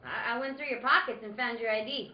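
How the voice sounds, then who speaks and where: Woman as a high-voiced, eager young cop. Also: fast talker, natural voice. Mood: concerned. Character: chatty, eager young policeman on the beat. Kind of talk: old-time detective radio drama read-through. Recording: echoey room, dull noisy sound.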